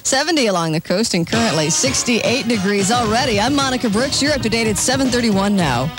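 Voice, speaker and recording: A woman's voice: a little high, expressive, brisk. A young American woman reading news and chatting as co-host of a radio show, close on a studio microphone. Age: young adult. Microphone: studio microphone on boom arm, headphones. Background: music.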